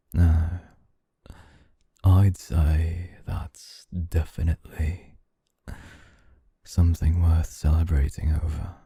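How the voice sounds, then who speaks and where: Man acting a deep, dark-voiced suave CEO. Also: gravelly, husky, dry recording, clean studio sound, full character voice. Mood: smooth, flirtatious. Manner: slow, teasing, deliberate, with low chuckles. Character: suave dominant male CEO, possessive and flirtatious. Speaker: ASMR voice actor. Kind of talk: intimate ASMR roleplay.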